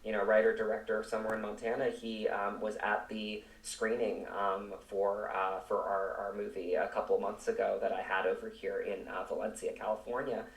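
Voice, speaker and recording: Male interview guest, actor. Low voice, earnest and emphatic, conversational, picked up from a distance with room echo. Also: level tone, moderate pace, creaky, hardly any pauses. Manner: reflective, emphatic, circling back over phrases. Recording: roomy echo, distant microphone.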